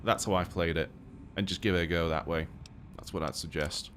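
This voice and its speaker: Relaxed British-accented streamer, low voice. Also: male voice, brisk, slight background noise. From Poland. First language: Polish. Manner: relaxed, thinking aloud while playing. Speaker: game streamer. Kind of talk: casual live-stream gameplay commentary.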